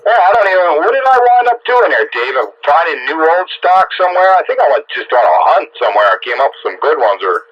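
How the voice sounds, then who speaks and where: A man's voice: a little high, big pitch swings, quick pace, hardly any pauses. A man having a casual chat as a radio operator, heard over a muffled two-way radio transmission. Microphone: radio set microphone heard over air.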